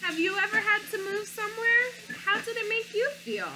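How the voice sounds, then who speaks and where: A woman teacher reading a picture book aloud to children, with an expressive storytelling delivery, stopping to ask her young listeners questions. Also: very high voice, normal speed, noisy background.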